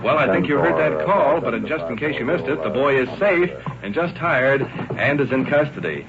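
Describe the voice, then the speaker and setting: A man's voice: medium pitch, animated, normal speed, creaky, lo-fi sound, background noise. A man reporting live as a radio host, narrating off the cuff from a police ride-along on an old field recording. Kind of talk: unscripted on-scene radio narration. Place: outdoors and in a police car. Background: vehicles and police radio.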